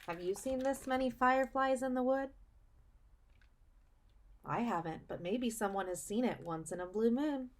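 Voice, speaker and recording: A woman teacher reading a story aloud for children, explaining as she goes and pausing to put questions to the listener, with emphasis on key phrases. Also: fairly high pitch. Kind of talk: read-aloud lesson for children, asking questions.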